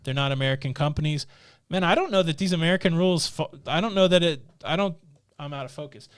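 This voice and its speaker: A man's voice: fairly high pitch, fast talker, clear, resonant tone, no echo. A middle-aged man from the American Midwest co-hosting a live stream, chatting casually and reading viewer comments aloud into a studio mic. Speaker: co-host. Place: small studio room with a purple backdrop. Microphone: studio mic on a boom arm.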